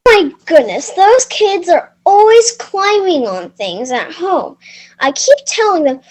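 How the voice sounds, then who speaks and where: A woman's voice: extremely high pitch, very animated, slow pace, darkish tone, exaggerated voice. A woman playing a theatrical, easily shocked family member in a readers theater fairy tale, exclamatory.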